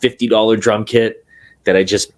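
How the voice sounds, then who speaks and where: Deep-voiced laid-back drummer on a call. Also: male voice, moderate pace. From New Hampshire, USA. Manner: relaxed, hesitant with many fillers and restarts. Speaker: guest drummer. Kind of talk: casual interview chat. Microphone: remote video call.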